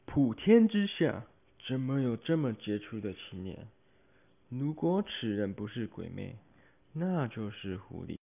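Shrewd, suspicious official played by a male amateur, declamatory, very animated pitch, phone-like radio drama sound. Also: measured pace, gravelly, a little hiss or hum. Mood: suspicious wonder.

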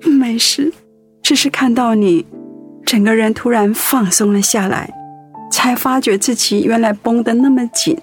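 An actress playing a devoted, anxious wife in a radio drama, speaking tenderly and earnestly with feeling. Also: female voice, on the high side, measured pace, faint music behind, lightly acted. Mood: relieved. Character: devoted, anxious wife caring for her sick husband.

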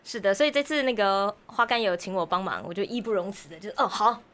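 Female Taiwanese co-host, medium pitch, supportive casual conversation. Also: fast, a bit bright. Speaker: podcast co-host. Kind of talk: casual chat.

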